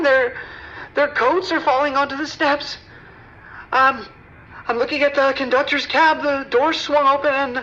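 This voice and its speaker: A woman acts out a terrified commuter calling for help in an audio drama. The voice is very high and sharp, breaking into stammers and pleas, with slightly dull lo-fi sound. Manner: halting, stammering, pleading. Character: terrified subway commuter calling emergency services.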